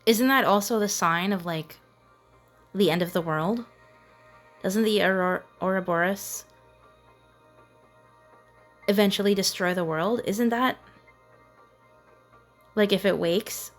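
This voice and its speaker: Slow-paced woman musing through a game. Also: mid-range voice, faint music behind. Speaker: gamer narrating own playthrough. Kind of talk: casual gameplay commentary, thinking aloud.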